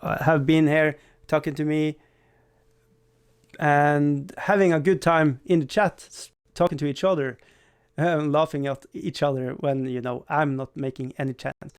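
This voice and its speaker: A man's voice: a little high, level tone, measured pace, clear, resonant tone, no echo. Rambling man, dry close sound. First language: Swedish. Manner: rambling, self-deprecating, frequent stammered restarts and laughs. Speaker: livestream host. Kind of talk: casual livestream chat.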